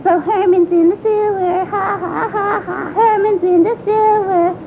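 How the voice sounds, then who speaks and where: A sulky, aggrieved little schoolgirl. Her voice is extremely high and whiny, rambling with childlike indignation through a monologue. The sound is thin and phone-like with faint noise. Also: lively intonation, moderate pace. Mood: tearful, spiteful. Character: sulky, aggrieved young schoolgirl, naive and foul-mouthed. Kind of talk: character monologue. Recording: tinny phone-like band, faint rumbling noise.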